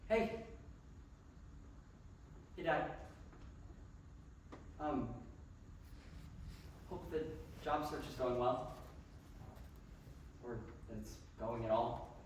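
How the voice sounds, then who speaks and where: An actor playing a guilt-ridden young adult who talks haltingly and restarts often. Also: medium pitch, big pitch swings, a little hiss or hum, lightly acted. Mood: subdued. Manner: halting and self-correcting, swinging to giddy shouting. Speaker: young adult child leaving voicemails for a father. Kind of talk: character drama. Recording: echoey, distant mic, music underneath.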